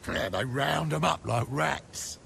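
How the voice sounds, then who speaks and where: An actor playing a scruffy street looter in a fantasy video game, sly and cocky, with slangy talk and a gravelly voice. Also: male voice, medium pitch, slow, fairly bright tone, a little hiss or hum, heavily acted. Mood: sly, knowing.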